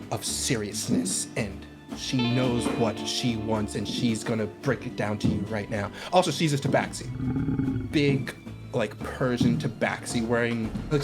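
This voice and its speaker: A man acting as game master, improvising a scene for players in an animated, conversational and emphatic way. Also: mid-range voice. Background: loud plucked guitar music.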